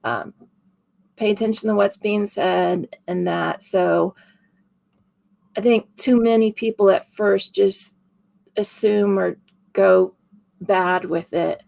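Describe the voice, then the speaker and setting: A woman with a raspy voice co-hosting a casual paranormal investigation chat, laughing easily as she talks. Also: mid-range voice, steady tone, slow, pauses often, a little mellow.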